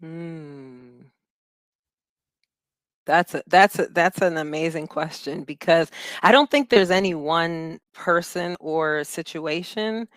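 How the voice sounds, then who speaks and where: Smooth-voiced woman speaking in halting phrases. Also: deep voice, no room sound. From Philadelphia, USA. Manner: halting, searching for words with many pauses; laughs easily while talking. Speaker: guest. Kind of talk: reflective conversational interview.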